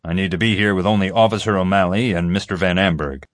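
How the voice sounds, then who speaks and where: A male narrator acts as a stern investigator in an audiobook. He is controlled and firm, with a low voice and measured, accusing delivery. The recording is dry. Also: steady tone, no echo, lightly acted.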